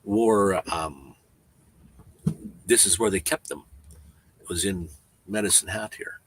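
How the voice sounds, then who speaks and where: A man around seventy with a Canadian accent, chatting casually on a livestream, rambling and halting with frequent restarts. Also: deep voice, a little hiss or hum, rough recording. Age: 70s. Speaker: van-life vlogger.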